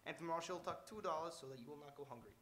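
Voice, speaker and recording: A man's voice: fairly high pitch, rapid-fire, fairly bright tone, close to an everyday voice. A man playing a long-winded, good-natured police sergeant in a live radio drama, speaking with pompous formality. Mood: earnest, officious. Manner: verbose, pompously formal wording.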